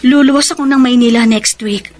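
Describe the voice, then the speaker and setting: Actress as high-voiced devoted, hurting wife. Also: female voice, brisk, lightly acted. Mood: worried, lovesick. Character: devoted, hurt wife clinging to her unfaithful husband. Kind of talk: Filipino radio drama acting, emotional.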